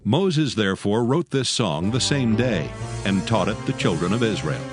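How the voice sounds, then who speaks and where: A man with a fairly low voice narrates scripture. His reading is solemn and measured, and music plays loudly beneath him. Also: big pitch swings. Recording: loud music under the voice.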